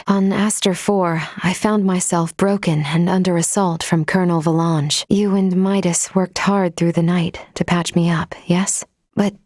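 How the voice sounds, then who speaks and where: A woman playing a polite, analytical female android companion in a video game, speaking in formal, precise, measured phrases. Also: fairly low pitch, a little mellow, no echo, clean studio sound, close to an everyday voice. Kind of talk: scripted video game character acting.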